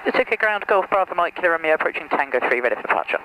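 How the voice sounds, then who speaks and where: Rapid-fire pilot on noisy radio. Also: male voice, a little high, lively intonation. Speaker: pilot making radio calls. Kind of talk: clipped air traffic radio readbacks. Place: small light aircraft cockpit. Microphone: aviation headset boom mic. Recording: noisy aviation headset radio.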